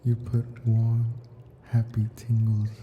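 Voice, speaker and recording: A man playing an ancient, possessive and imperious yet doting male dragon in an intimate ASMR roleplay, murmuring deliberately and sometimes dropping to a whisper. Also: mid-range voice, completely flat delivery, phone-quality sound, a little hiss or hum, close to an everyday voice. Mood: tender, contented. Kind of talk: ASMR roleplay, slow intimate character acting.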